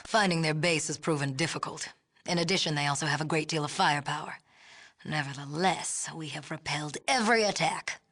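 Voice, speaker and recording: A woman's voice: low voice, fairly bright tone. An actress playing a stern, haughty female leader of an isolationist city in a video game, speaking in a formal, clipped, imperious way. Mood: concerned, suspicious. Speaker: game character voice actor. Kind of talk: scripted video game dialogue acting.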